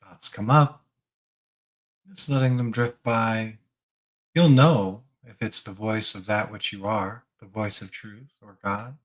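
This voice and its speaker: A man leading a guided spiritual meditation as a teacher, gently prompting the listener with reflective questions. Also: fairly low pitch, fairly even intonation, slow, low-quality recording.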